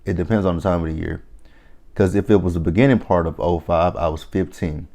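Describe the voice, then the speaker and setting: A man in his thirties with a deep, low-pitched voice talks through a reality show episode in a loose, conversational way. He is blunt and opinionated and swears freely. He often stops and restarts his sentences as he thinks aloud. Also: a little fast, mellow. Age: 30s. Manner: rambling with frequent restarts. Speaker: reality TV reviewer. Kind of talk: casual recap commentary.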